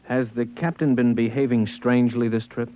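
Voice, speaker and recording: An actor playing a curt, businesslike government agent with a clipped, matter-of-fact delivery in 1950s radio drama style. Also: male voice, medium pitch, level tone, moderate pace, close to an everyday voice. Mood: brisk, official. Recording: thin phone-like old radio recording.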